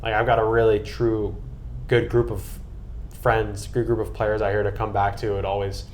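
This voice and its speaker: A man's voice: lowish voice, flat delivery, normal speed, a little mellow, slight background noise. An American man chatting casually and earnestly on a podcast, with an enthusiastic manner. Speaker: podcast guest and co-host recapping a trip.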